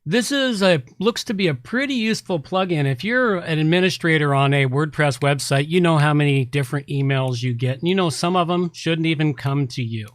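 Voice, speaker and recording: A man's voice: a little high, fairly quick, hardly any pauses, no echo, clean studio sound. A man hosting a podcast, talking casually and informally through reviews with chatty asides. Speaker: podcast host.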